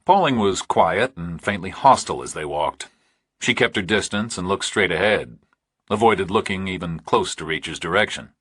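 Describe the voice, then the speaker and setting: A man narrates a thriller audiobook from a script. His voice is very low and husky, and the recording is close and dry. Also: expressive, moderate pace, a bit bright, dry recording.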